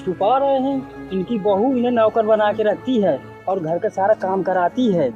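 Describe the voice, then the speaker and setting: A man's voice: sits high, moderate pace, dark tone, dull audio, soft background music, close to an everyday voice. A man playing a loyal, respectful sidekick in conversational Hindi short-film dialogue. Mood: gossipy. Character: loyal, respectful male sidekick to elder sister.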